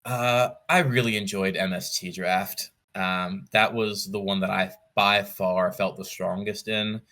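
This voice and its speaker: A man with a fairly low but forward, bright voice talks as a podcast guest. He explains things casually, restarting phrases and correcting himself, with an enthusiast's eye for detail. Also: fairly even intonation, measured pace. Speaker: podcast guest, competitive card game player. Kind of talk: casual interview storytelling.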